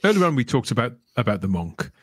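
Lively-pitched man hosting a podcast. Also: quick pace, breathy edge, no echo. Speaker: podcast host. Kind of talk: conversational interviewing. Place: remote online video call.

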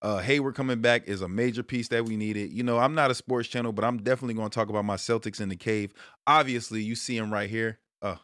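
A man in his thirties from Brooklyn, hosting a casual sports talk stream from a small room at home, switching between loud hype and low muttered asides. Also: steady tone, fast talker, dry recording, clean studio sound. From Brooklyn, New York. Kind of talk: casual monologue to viewers.

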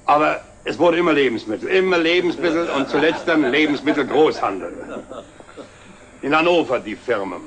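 An actor playing a jovial, self-made older company director, giving a proud, deliberate speech in a 1960s German TV drama. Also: male voice, on the high side, lively intonation, a crowd in the background, lightly acted. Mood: wry, proud. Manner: expansive, deliberate speechmaking with wry chuckles. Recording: slightly noisy old 1960s TV soundtrack.

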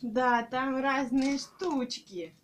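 A woman's voice: high voice, fairly even intonation, very slow. A young woman chatting casually to small children as a mother hosting a home video, in an encouraging sing-song way. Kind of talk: casual child-directed chat. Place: small living room at home. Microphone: handheld camera or phone mic, behind the camera. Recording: noisy home phone-camera recording.

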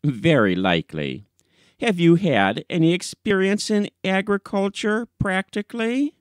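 A man voicing an austere, indignant old gentleman who questions testily in an audiobook story. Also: high-pitched, expressive, measured pace, smooth, no room sound, studio quality, voice pushed up. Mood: stern, skeptical. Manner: stern, testy questioning.

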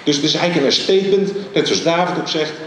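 Middle-aged male preacher, emphatic and pausing, handheld mic in a big reverberant church hall. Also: a little high, far from the mic, slight background noise. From Netherlands. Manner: animated, emphatic preaching with many pauses. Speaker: guest preacher. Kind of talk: sermon. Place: large dark church hall stage. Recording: very echoey large hall.

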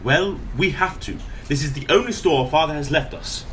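An actor playing a pompous, inept posh British brother in a radio comedy, grandiose and formal but hesitant. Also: male voice, a little high, normal speed, fairly bright tone, a little hiss or hum, close to an everyday voice. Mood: grandiose, earnest. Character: pompous, inept posh brother running a failing antique shop.

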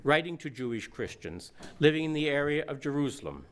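Male minister, 60s, folksy storyteller at the lectern, slightly high voice. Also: very animated, brisk, slight background noise. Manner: folksy, breaks into song. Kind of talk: storytelling sermon. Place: church sanctuary.

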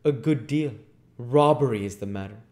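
A man playing an impetuous gentleman doctor in a storybook reading, brusque, excitable and full of bluster. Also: on the high side, moderate pace, pitched above the performer's own voice. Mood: indignant.

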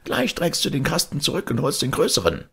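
A man voicing a greedy, spiteful old wife in a fairy tale, scolding and bossy in a shrill forced voice. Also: big pitch swings, fairly quick, gravelly, fairly bright tone, slightly rough, exaggerated voice. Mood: scolding, furious.

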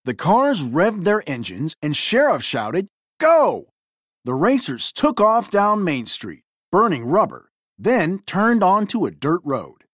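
A woman reading a children's storybook aloud in an expressive, theatrical way. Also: fairly low pitch, a little slow, husky, no room sound, studio quality. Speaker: storybook reader.

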